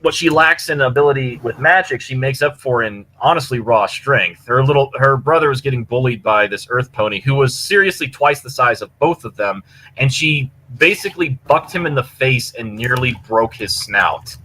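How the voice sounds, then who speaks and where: A man's voice: mid-range voice, moderate pace, pushed and bright, poor audio. A man giving a casual, enthusiastic, rambling review of stories as a podcast co-host, speaking over an online voice chat. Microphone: computer mic on online voice chat. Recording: clear background noise, push-to-talk online voice chat.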